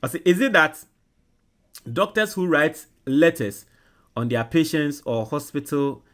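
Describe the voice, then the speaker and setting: A man's voice: on the high side, expressive. Emphatic Ghanaian man hosting a show. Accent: Ghanaian English. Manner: loud and emphatic when reading comments, quiet and halting while typing. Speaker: social media political show host. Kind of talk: reading out viewer comments and dictating an email.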